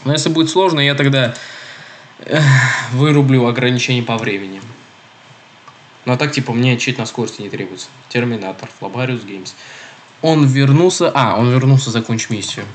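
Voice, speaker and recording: A man's voice: medium pitch, fairly quick, a little hiss or hum. A young man with a cold and a nasal, stuffy voice, chatting casually through a game and reading its text aloud. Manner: chatty, rambling, self-correcting. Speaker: gaming video host.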